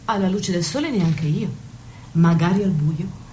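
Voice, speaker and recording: An actress playing a worldly, wry maid and confidante in a radio play, knowing, deliberate and defiant. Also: female voice, on the low side, dark tone, slight background noise, natural voice. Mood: wry, teasing. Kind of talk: stage-play dialogue and monologue.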